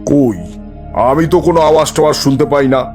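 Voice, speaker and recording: A man's voice: on the high side, brisk, a little mellow, soft background music, lightly acted. A man playing a skeptical, dismissive villager in a cartoon, talking in a colloquial, questioning way. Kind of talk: cartoon voice acting.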